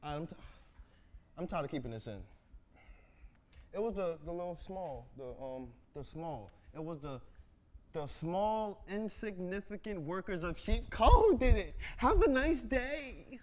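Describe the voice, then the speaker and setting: A man's voice: sits high, big pitch swings, measured pace. A man in a staged reading playing a harried, foul-mouthed worker, exasperated and sardonic. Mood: mock confession. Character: harried, sarcastic, foul-mouthed male worker hounded by press.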